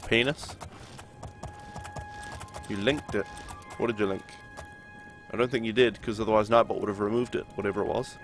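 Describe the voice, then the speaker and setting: A young man from New Zealand with a New Zealand accent, chatting with his viewers while he plays a game. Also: medium pitch. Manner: chatty, reads chat aloud and answers it. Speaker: streamer. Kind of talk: live game stream commentary.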